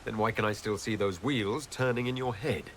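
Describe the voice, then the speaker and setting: An actor playing a calm, supportive hero in a video game cutscene, with plain, sincere replies. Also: male voice, fairly low pitch, moderate pace, slight background noise, close to an everyday voice. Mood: calm, concerned. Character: calm, supportive male hero leader, loyal friend.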